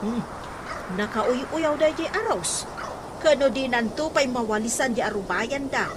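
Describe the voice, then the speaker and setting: An actress playing a gossipy, scoffing village housewife in an Ilocano radio comedy drama, with an Ilocano accent. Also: female voice, on the high side, expressive, a little slow. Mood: scornful. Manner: exclamatory, scoffing. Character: gossipy, mocking village housewife, bickering and loud. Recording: noisy track with outdoor ambience.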